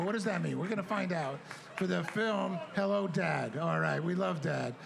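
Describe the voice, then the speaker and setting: A man's voice: high-pitched, creaky, a bit bright, a little hiss or hum. A man hosting a live outdoor stage event, loose and joking as he hands out awards. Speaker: host.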